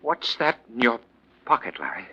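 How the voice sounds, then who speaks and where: A man's voice: mid-range voice, very animated, normal speed, pushed and bright, breathy edge, heavily acted. A man playing a desperate, anxious professor in a 1940s radio drama, stammering and pleading. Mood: panicked. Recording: muffled, dry old radio recording.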